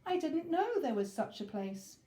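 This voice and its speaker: A woman's voice: high-pitched, big pitch swings, moderate pace, dark tone, roomy sound, pitched above the performer's own voice. A woman voicing a friendly, grinning male storybook character with a chirpy manner. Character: grinning, friendly man out strolling.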